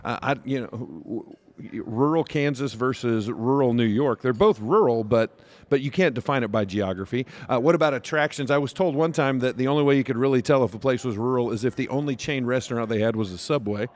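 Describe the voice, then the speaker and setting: A man's voice: medium pitch, brisk. An American man speaking as a podcast host and pastor, bantering casually in front of a live audience.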